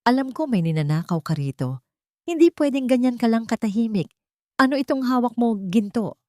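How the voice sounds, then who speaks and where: Lady doing a shrill snooty young fiancée, fast talker, sneery, high and loud-ish, dry sound. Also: lively intonation, dry recording, natural voice. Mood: accusing. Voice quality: shrill, quick. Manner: sneering, suspicious. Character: haughty young woman fiancée, shrill and scornful.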